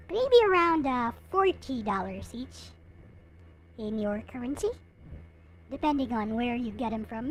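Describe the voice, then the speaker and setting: Female streamer, high voice, unhurried pace, casual chat with viewers. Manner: chatting casually to viewers, replying to comments. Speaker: livestream host. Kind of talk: casual livestream chat showing collectible figures.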